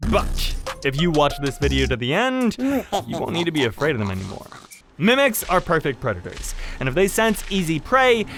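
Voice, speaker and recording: Very animated, sweary explainer host over music. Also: medium pitch, moderate pace. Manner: animated, sarcastic, swearing, mock-dramatic. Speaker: video host. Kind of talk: casual, profane comedic explainer narration. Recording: background music under the voice.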